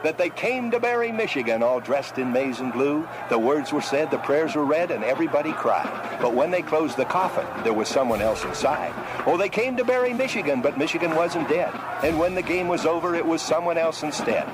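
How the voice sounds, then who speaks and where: A man reciting a rhythmic poem in a measured, steady way, from an old archive clip. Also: a little high, background noise.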